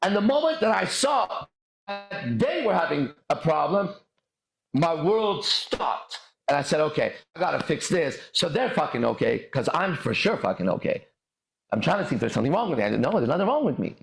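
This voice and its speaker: A man from Iran hosts a livestream podcast with an animated, swooping voice pitched a little high. He talks in emphatic, profane bursts with long, drawn-out pauses. The room around him rings with echo. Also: creaky. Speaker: podcast host. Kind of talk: livestream motivational storytelling.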